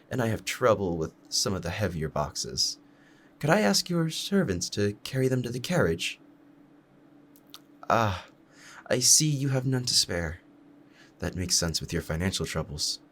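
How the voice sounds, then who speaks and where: A man's voice: lowish voice, very animated, moderate pace, long pauses, fairly bright tone, voice pushed up. A man acting out a courteous, deferential storekeeper in a scripted audio roleplay, speaking formally and apologetically to the listener. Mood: apologetic, grateful. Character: polite, deferential male storekeeper, an assassin's disguise.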